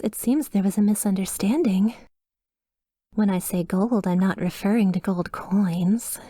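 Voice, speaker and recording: Demon front-desk clerk, played by a woman: medium pitch, customer-service polish, cheerful with a sly edge, dry ASMR sound. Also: dark tone, no room sound, natural voice. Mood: courteous. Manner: polished customer-service politeness, reassuring, faintly apologetic. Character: polite demon woman receptionist, cheerful, slyly sinister. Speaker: hotel receptionist character. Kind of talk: scripted ASMR roleplay.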